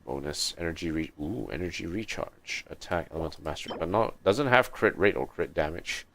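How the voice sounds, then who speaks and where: A man from Malaysia giving low-key, muttered, casual commentary as he hosts a video game livestream. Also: expressive, fairly quick, fairly bright tone. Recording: clearly noisy mic with clicks.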